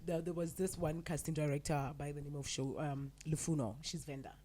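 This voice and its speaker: Husky South African woman telling stories. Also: low-pitched, brisk. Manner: animated storytelling, acting out quotes. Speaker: guest actress and presenter. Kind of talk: casual podcast interview.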